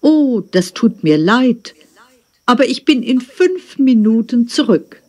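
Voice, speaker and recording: A woman voicing a hurried driver who pleads and coaxes. Her intonation swings widely, yet her pace stays slow. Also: a little high, expressive, darkish tone. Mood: pleading. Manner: pleading, coaxing. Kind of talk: scripted textbook dialogue.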